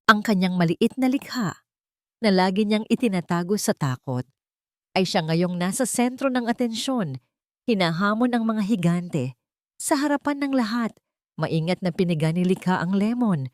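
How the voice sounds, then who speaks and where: A woman's voice: mid-range voice, animated, normal speed, dry recording, studio quality. A woman with a Filipino accent narrating a scripted story dramatically, as a storyteller.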